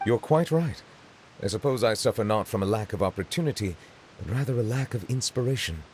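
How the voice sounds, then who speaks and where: A stately male judge from a video game, voiced by a man, speaking in a low, composed voice. He chooses every word with formal precision and measured calm. His humor is dry. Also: a little hiss or hum, natural voice.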